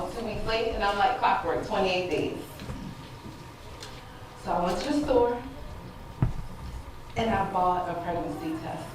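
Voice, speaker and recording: An actress playing an emotional, hopeful wife who tells a deliberate, dramatic story in a stage monologue. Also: female voice, medium pitch, natural voice. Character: hopeful, emotional wife recounting her long-awaited first pregnancy. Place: stage in a theater. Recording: very echoey stage, distant mic, audible room noise.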